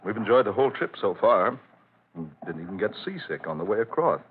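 A man's voice: on the low side, big pitch swings, brisk. An actor playing a hearty, bluff family man visiting an old friend, chummy and sputtering, in 1940s radio drama style. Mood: chatty, bluff. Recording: tinny, noisy old radio recording.

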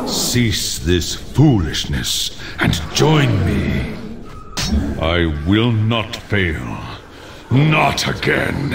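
An actor playing a sinister male demon dreadlord in a video game, gloating and theatrical with drawn-out menace. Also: lowish voice, creaky, very noisy, exaggerated voice. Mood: strained, defiant. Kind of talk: video game villain acting.

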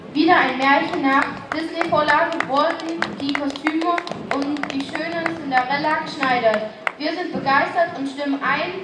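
A woman's voice: high voice, background noise. A woman announcing parade groups outdoors, reading matter-of-factly and restarting phrases now and then. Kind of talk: reading announcements over loudspeaker. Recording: distant PA echoing down a street.

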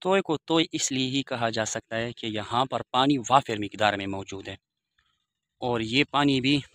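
A man's voice: medium pitch, normal speed, fairly bright tone, dry recording. A man in his forties walking outdoors and narrating a village vlog into his phone, speaking formally and devoutly with Arabic blessings. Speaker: village vlogger. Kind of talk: walking tour commentary. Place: outdoors in open riverbed countryside. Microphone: phone camera held by the speaker.

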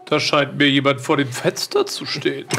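A man's voice: mid-range voice, moderate pace, gravelly, over loud music, lightly acted. A man playing a smug young lord with a politely mocking manner.

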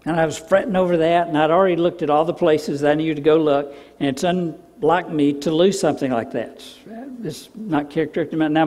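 An older man, a pastor preaching a sermon in a church sanctuary, telling stories and dropping to soft, emphatic repeats. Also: a little high. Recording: long reverb of a church hall.

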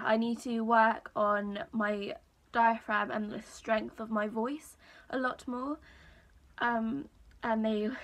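Young female vlogger, high register, croaky today, slow unhurried chat full of ums, filmed close in a small room. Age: young adult. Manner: chatty, many ums, relaxed storytelling. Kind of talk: casual talk to camera.

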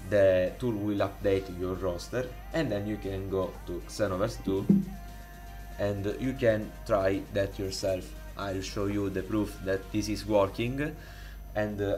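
A man with an Italian accent, speaking English as a second language, walks through a modding tutorial in a halting way, with many fillers and restarts, recorded on a desktop microphone. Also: low-pitched, a little mellow. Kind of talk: casual screen-recorded tutorial. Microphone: desktop USB microphone.

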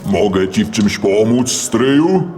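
A man's voice: lowish voice, lively intonation, slow pace. Man acting a defiant orc arena master. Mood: cold, insolent. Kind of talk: audio drama acting. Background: music.

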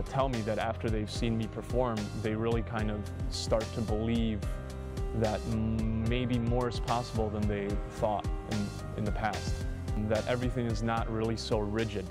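A man's voice: little pitch movement, moderate pace. A man in his twenties talking casually as a close-up street magician, explaining and performing. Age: 20s. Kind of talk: interview talk and casual performance patter. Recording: music underneath, subway train rumble.

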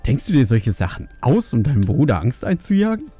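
Man acting a stern, animated panda father. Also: mid-range voice, brisk, husky, faint music behind, pitched above the performer's own voice. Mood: angry, accusing. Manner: stern, reproachful. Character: strict, angry panda father scolding his family. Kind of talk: acted fantasy audiobook dialogue.

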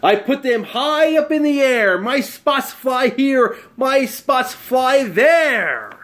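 A man's voice: extremely high pitch, expressive, takes it slowly, a bit bright, pitched above the performer's own voice, heavily acted. A man voicing an eager spotted animal in a storybook, boastful and pleading as it shows off. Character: eager spotted animal showing off magic tricks. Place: small bright room at home.

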